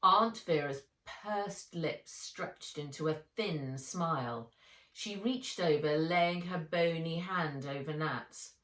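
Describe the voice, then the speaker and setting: Low-voiced woman reading a children's story slowly. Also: a bit bright. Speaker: author reading own book aloud. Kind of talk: children's audiobook narration. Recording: roomy echo, distant microphone.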